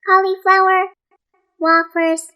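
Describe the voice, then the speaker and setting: A woman's voice: very high voice, level tone, slow pace, lo-fi sound, voice pushed up. A woman voicing a chattering young girl in a storybook, reeling off items in an emphatic sing-song. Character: chattering young girl endlessly reciting lists. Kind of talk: storybook character reading.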